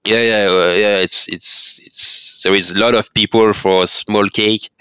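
A man's voice: lowish voice, fairly even intonation, a little slow, bright, forceful tone, clean tone, no echo, poor audio. A French man with a French accent, speaking as a podcast guest in a casual interview, hesitant, with many fillers and restarts. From France.

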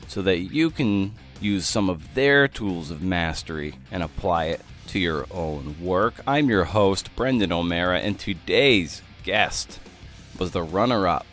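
Man, deep low voice, podcast host, conversational delivery. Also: a bit bright. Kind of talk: scripted intro narration and casual interview.

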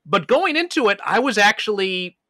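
A man with a bright, forward voice that sits a little high. He hosts a casual film discussion, and the sound is close and dry. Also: big pitch swings, normal speed, no room sound. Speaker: podcast host.

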